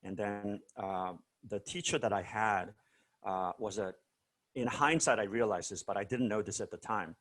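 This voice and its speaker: A man's voice: fairly low pitch, moderate pace, a bit bright. A man from South Korea being interviewed over a video call, telling stories casually with many fillers and restarts. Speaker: guest musician.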